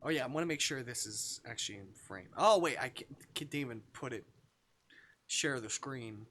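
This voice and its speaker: A man hosting a game livestream with a bright, forward voice and very animated pitch. He reads visual novel text aloud and mixes it with playful chat. His delivery is expressive and jokey. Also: moderate pace. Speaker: live streamer playing a visual novel.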